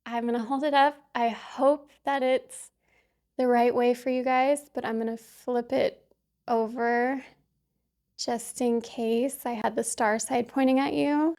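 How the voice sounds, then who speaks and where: A woman from talks straight to her viewers in a casual book vlog. Her voice sits a touch on the high side, and her manner is chatty and upbeat, full of little asides. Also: steady tone, a little slow, darkish tone. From Kansas City, US. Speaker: book vlogger. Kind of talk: casual chat to camera.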